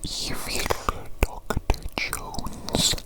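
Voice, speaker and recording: Evil doctor for ASMR roleplay, male performer: slow, hushed whisper, fussy and precise yet soothing, with repeated phrases. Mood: fussy, softly precise. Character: evil doctor, male creator of creatures, gentle and fussily precise.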